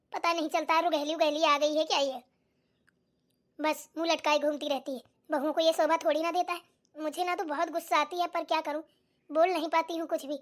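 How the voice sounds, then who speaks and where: Very high-pitched nagging mother-in-law played by a woman. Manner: nagging, sharp-tongued, demanding. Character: bossy, scolding older mother-in-law in family cartoon. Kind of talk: Hindi cartoon voice acting.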